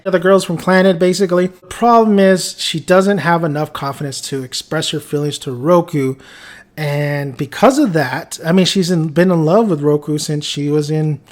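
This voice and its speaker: Chatty man with a Mexican accent. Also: high-pitched. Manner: rambling, chatty, often restarts sentences and chuckles. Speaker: anime reviewer. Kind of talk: casual video review.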